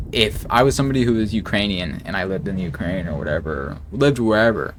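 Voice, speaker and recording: A man commenting casually on game patch notes, reading each note slowly and then reacting in a rambling way, with lots of filler words and swearing. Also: lowish voice, a little hiss or hum. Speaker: gaming streamer.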